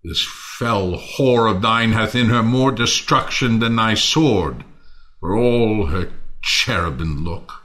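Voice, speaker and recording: A man's voice: lowish voice, a bit bright. An actor playing a bitter man who hates mankind in a Shakespearean audio drama, declaiming scornfully. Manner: declamatory, scornful, drawn-out phrasing with sudden swoops.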